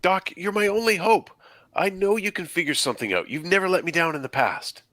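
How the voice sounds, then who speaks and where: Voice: medium pitch, animated, brisk, fairly bright tone, no echo, close to an everyday voice. A performer reading the part of an earnest, anxious teenage boy in a film script, with an urgent manner. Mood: pleading. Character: earnest, anxious seventeen-year-old boy time traveler. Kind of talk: table read of a film script.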